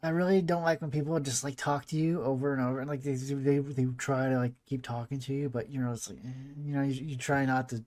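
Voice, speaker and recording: A game player giving casual commentary in a rambling, halting way. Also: mid-range voice, steady tone, darkish tone. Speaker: gaming video host.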